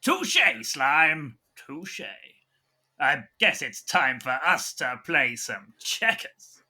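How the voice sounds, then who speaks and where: Man playing a very bright, cocksure action man. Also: on the high side, sing-song, very slow, exaggerated voice. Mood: unimpressed, glib. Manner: self-satisfied, glib. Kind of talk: comic audio drama.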